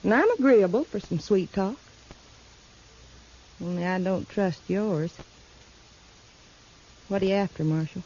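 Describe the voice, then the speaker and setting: A woman's voice: mid-range voice, a little slow, long pauses, warm tone, lightly acted. Actress as a bold Kentucky mountain girl. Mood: coy, wary. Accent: rural Kentucky mountain. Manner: forthright, folksy. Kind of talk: 1950s radio western acting. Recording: dull, hissy 1950s radio recording.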